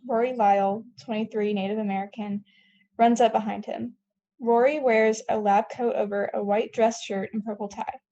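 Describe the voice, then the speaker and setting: Higher-pitched young woman narrating. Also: warm tone, dull audio. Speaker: stage-direction narrator. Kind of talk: scripted narration at a table read.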